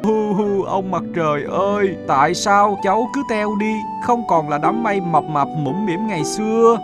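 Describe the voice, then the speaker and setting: A man voicing a proud, touchy young cloud in a cartoon fable, with a childlike, expressive delivery. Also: sits high, moderate pace, over loud music, natural voice. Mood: tearful, worried.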